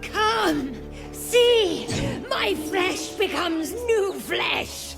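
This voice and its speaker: A savage monster cries out in an extremely high, creaky voice. It declaims exultantly and very slowly over music. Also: female voice, expressive, exaggerated voice. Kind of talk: video game creature dialogue.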